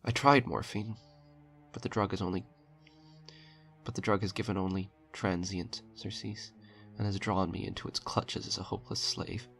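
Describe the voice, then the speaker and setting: A man with a very low voice reads a horror story as a dramatic narrator. His tone is heavy with creak and fry, and music plays underneath the reading. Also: steady tone, normal speed, fairly bright tone. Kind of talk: dramatic audiobook-style narration. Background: eerie background music.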